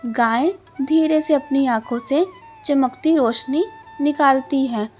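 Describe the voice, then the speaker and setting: A woman narrating a Hindi cartoon story in a gentle, measured, moralizing style. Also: high-pitched, soft background music.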